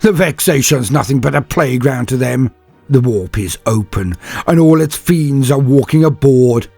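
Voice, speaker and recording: A man voicing a gruff male executive officer who barks and snaps orders. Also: mid-range voice, lively intonation, a little slow, a little mellow, no echo, soft background music, voice pushed up. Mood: barking. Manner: barking, snapping orders.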